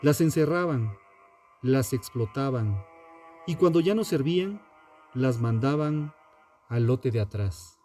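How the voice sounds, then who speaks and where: A man, a podcast host delivering scripted true-crime narration in a dramatic, deliberate way with pauses. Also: medium pitch, animated, mellow, faint music behind.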